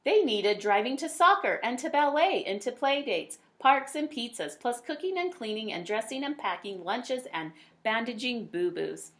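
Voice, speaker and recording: A woman reading a children's picture book aloud, with dramatic emphasis and drawn-out words. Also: fairly high pitch, very animated, fairly bright tone. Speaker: read-aloud storyteller.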